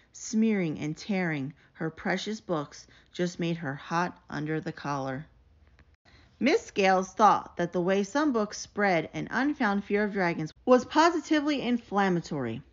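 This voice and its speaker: A woman giving an animated, expressive read-aloud of a children's picture book. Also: mid-range voice. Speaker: storybook reader. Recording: dull, lo-fi sound.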